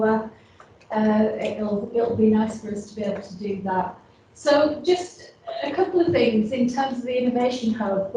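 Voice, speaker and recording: A woman's voice: a little high, warm tone, a little hiss or hum. A woman with a Yorkshire accent giving a casual talk to an event audience, chatty and full of ums and restarts. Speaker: innovation hub staff presenter. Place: large echoey event room. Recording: roomy audio from a distant mic.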